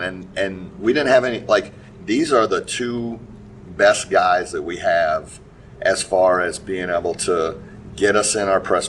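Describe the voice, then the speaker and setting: A middle-aged man, a basketball coach giving a halting post-game interview full of restarts and fillers. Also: low-pitched. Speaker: high school basketball coach. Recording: distant mic with faint background noise.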